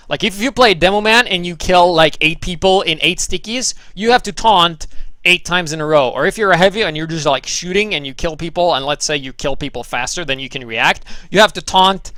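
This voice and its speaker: A young man from Norway hosts a gaming replay show, talking loosely and sarcastically with plenty of swearing. His voice sits a little high and has a bright, sharp edge. The sound is dry and close. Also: no echo. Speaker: game commentator. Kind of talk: casual commentary over gameplay replays.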